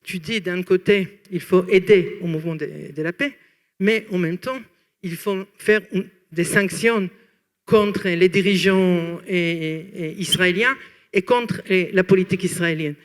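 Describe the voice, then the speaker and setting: A woman from France and Israel asking a long, earnest question from the audience at a public talk, often pausing to search for words. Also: on the low side, big-room sound.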